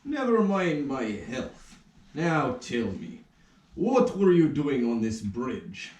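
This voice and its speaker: A man playing a stern, bullying police officer in a puppet play, with drawn-out, domineering delivery in a roomy recording. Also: mid-range voice, very animated, a little mellow. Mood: stern, suspicious. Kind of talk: puppet theatre acting. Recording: roomy, distant rehearsal recording.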